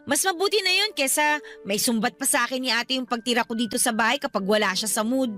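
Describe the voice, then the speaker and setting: Plaintive, bright-voiced teenage daughter. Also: female voice, a little high, fast talker, faint music behind. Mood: pleading, defensive. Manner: pleading, plaintive. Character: hurt, stubborn eighteen-year-old daughter yearning for independence. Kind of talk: Tagalog radio drama acting.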